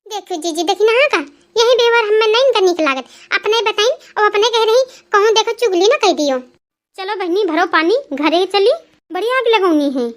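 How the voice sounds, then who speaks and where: A woman voicing gossipy, teasing village women in Awadhi-accented cartoon comedy, folksy and nagging, with an artificially pitched-up voice. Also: expressive, moderate pace. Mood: gossipy grumbling.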